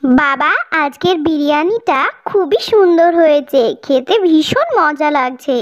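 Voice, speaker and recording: A woman's voice: high voice, a little slow. A woman voicing a sweet, youthful village mother and daughter in a cartoon fairy tale. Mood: cheerful, caring. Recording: dull lo-fi sound.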